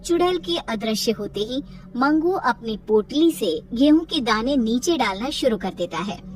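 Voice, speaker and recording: Female cartoon storyteller: high, slow, scripted narration over music. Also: lively intonation, distant mic.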